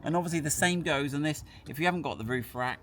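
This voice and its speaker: A man's voice: on the high side, quick pace, a bit bright. A man giving a casual hands-on product demonstration, chatting and thinking aloud as he works. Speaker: product presenter. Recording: clear background noise.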